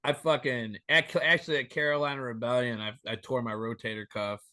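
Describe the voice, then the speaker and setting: A man in his thirties joining a podcast over a remote call as a guest, talking in an animated, rambling way and swearing freely. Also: mid-range voice, brisk, dry recording, clean studio sound. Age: 30s. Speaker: band member guest. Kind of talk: casual interview chat.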